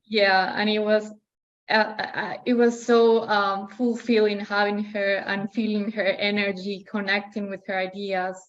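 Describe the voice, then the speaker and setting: Young female participant, Peruvian Spanish accent, even intonation, searching for words, muffled lo-fi call audio. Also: mid-range voice, a little slow. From Peru. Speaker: participant asking a question. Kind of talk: spontaneous question in a discussion.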